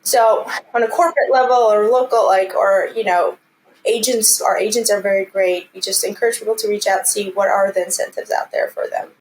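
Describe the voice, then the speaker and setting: A woman from Armenia talks as an interview guest in a conversational, earnest way. She sounds encouraging, as if urging people on, and her voice sits at a medium pitch. There is some light noise in the recording. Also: fairly even intonation, a little fast, rough recording. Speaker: interview guest, commercial real estate team lead.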